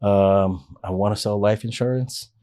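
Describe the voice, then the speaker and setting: A man in his forties with a fairly low voice talks through his own story on a podcast. He is earnest and rambling, often restarting sentences as he thinks. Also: fairly even intonation, measured pace, mellow. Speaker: podcast guest. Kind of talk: casual life-story interview.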